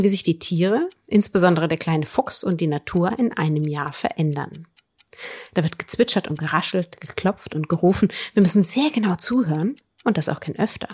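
A woman's voice: fairly low pitch, darkish tone, husky. A woman hosting a book podcast, giving recommendations in an enthusiastic, emphatic way. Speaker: book podcast host and reviewer. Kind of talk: book recommendation, partly scripted, partly conversational.

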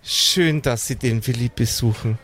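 A man voicing a kindly, doting old grandmother who speaks gently and politely in old-fashioned phrases. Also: mid-range voice, measured pace. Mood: friendly, doting.

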